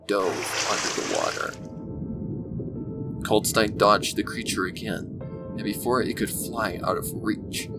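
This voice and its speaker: A man reading a scripted dark adventure story as its narrator, in audiobook style. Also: lowish voice. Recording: music bed behind the voice. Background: loud background music.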